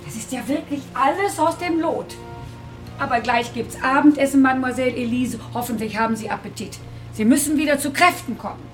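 A woman's voice: high-pitched, brisk, close to an everyday voice. Actress playing a chatty housekeeper, radio drama, fussing, motherly, faint music. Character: chatty older female housekeeper and carer, fussing.

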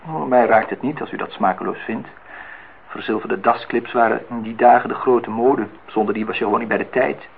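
A man's voice: mid-range voice, brisk, vocal fry, slightly rough. An actor playing a middle-aged, well-off man in a radio play, nostalgic and dryly teasing in formal speech. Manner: formal address, dry teasing. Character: older well-off man, nostalgic, needling, courting host. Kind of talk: Dutch radio play acting. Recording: muffled, phone-like old radio recording.

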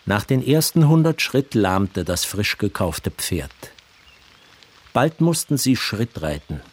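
A man's voice: fairly low pitch, a little mellow. A man narrating a literary radio play as storyteller.

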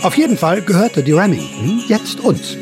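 A man's voice: high-pitched, very animated, normal speed, music in the background. A man acting a sardonic, rambling veteran of the sixties counterculture who narrates a radio drama as an amateur sleuth, wry and theatrical. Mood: wry musing. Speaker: narrator and investigator.

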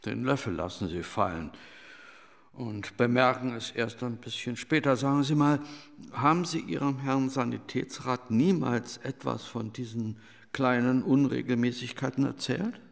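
An actor playing an authoritarian army doctor in a radio play, questioning with deliberate scepticism in a large reverberant room. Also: male voice, mid-range voice, gravelly, lightly acted. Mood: probing, sceptical. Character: probing, authoritarian male army doctor examining a recruit. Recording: long hall reverb.

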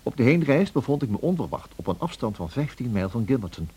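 An actor playing a refined gentleman who recounts his memories in a measured, formal radio play narration. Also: medium pitch, very animated, husky. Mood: composed, reflective.

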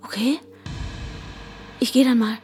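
Radio drama lead: a woman acting an anxious, insistent searcher, higher-pitched, urgent, often hushed, music underneath. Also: steady tone, measured pace, close to an everyday voice. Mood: brooding, intimate. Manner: urgent, questioning, often dropping to a hushed whisper. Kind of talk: radio drama dialogue and intimate first-person narration.

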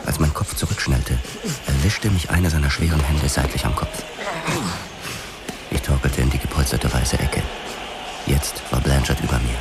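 An actor playing a tough boxer-cop who narrates his own past in a gritty, measured way in a radio drama. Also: a bass voice. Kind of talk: scripted first-person narration, hardboiled radio drama. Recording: loud music and effects behind.

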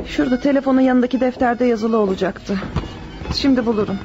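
A woman's voice: a little high, fairly quick, natural voice. An actress in a radio drama playing a kind, dutiful neighbour woman who is respectful and reassuring. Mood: earnest. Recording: dull lo-fi radio recording, music behind.

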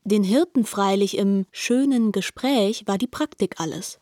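An actress plays a shrewd woman narrator in a radio literary drama. Her medium-pitched voice is recorded dry, and she delivers with pointed, deliberate phrasing. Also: warm tone, dry recording, natural voice.

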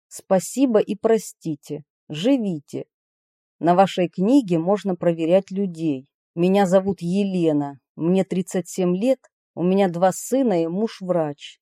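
A woman reading personal letters aloud in an expressive way. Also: lowish voice, dry recording, studio quality.

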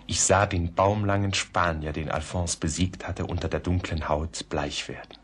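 An actor playing a grave, reflective gentleman who narrates a German radio play in a measured, often hushed way. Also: sits low, steady tone, husky. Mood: reflective, sombre. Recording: faint background noise.

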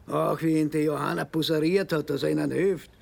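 An actor playing a bitter, vengeful grieving father in a radio drama, swinging from cold menace to shouting grief. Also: on the high side, steady tone, brisk, creaky.

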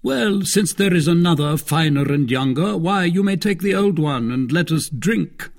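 A man voicing a proud, hard-drinking gentleman swordsman in a storybook reading, declamatory and lordly. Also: a little high, lively intonation, normal speed, creaky, pitched above the performer's own voice. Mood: hearty, imperious.

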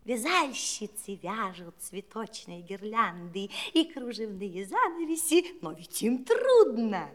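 A woman playing a wicked, gloating old fairy posing as a humble old woman, cackling and drawn-out in a theatrical fairy-tale audio play. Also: medium pitch, very animated, big-room sound. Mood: sly. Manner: cackling, drawn-out, exultant delivery.

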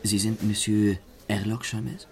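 A low-voiced, suave gentleman thief. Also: little pitch movement, unhurried. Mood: mockingly courteous. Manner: theatrical, ornately polite, teasing. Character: suave, mocking French gentleman thief, master of disguise. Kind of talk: German radio drama acting.

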